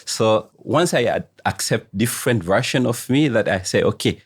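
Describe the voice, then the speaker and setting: A middle-aged man from Tanzania, a coach answering interview questions slowly, pausing often as he searches for words. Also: on the low side, lively intonation, husky, no echo, clean studio sound. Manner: slow, searching phrasing with frequent "uh" pauses. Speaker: guest coach. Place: studio.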